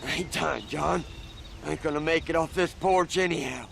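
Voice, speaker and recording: An actor playing a crusty, resigned old cowboy uncle in a game drama, with a gravelly American Western drawl. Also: male voice, a little high, normal speed, fairly bright tone, soft background music. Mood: strained, resigned. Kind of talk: dramatic video game acting.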